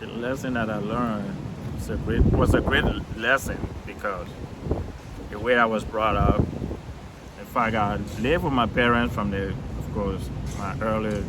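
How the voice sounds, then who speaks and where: An older man from Liberia with a Liberian accent, a retired DJ telling his life story in a relaxed interview, often chuckling and restarting phrases. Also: on the low side, unhurried, very noisy.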